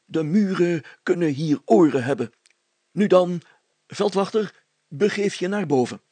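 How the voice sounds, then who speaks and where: A man's voice: sits high, animated, unhurried, warm tone, dry recording, pitched above the performer's own voice. A man voicing a pompous, officious and fussy village mayor in a storybook reading, speaking stiffly and formally with flustered hesitations. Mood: officious, commanding.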